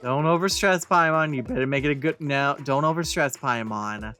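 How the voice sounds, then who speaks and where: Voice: medium pitch, moderate pace. A streamer giving casual, conversational gameplay commentary and reacting aloud. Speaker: let's play streamer. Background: game music.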